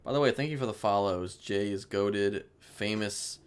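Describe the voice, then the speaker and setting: A man's voice: lowish voice, moderate pace, clear, resonant tone. A man who streams games, chatting casually to his viewers, rambling as he thinks aloud and swearing. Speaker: live game streamer.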